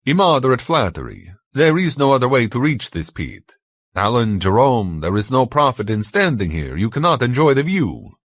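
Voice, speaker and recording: A man's voice: mid-range voice, very animated, moderate pace, fairly bright tone, no echo, studio quality, voice pushed up. A man voicing a stern, earnest man who lectures his companions in a formal, emphatic way for a story.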